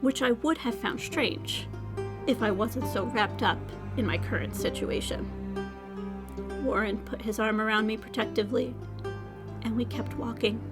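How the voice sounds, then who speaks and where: A woman's voice: on the high side, a little slow, over loud music, natural voice. A woman playing a sardonic, weary and emotionally raw young woman in a scripted audio drama. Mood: reflective narration. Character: defiant, weary young woman, sarcastic survivor. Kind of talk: scripted audio drama acting with first-person narration.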